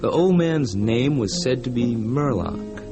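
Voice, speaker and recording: A man's voice: medium pitch, expressive, unhurried. An actor playing a folksy, plainspoken American frontier man who reflectively recounts a local haunting in a radio drama. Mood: wistful, reflective. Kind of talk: old-time radio drama narration. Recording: music behind the voice.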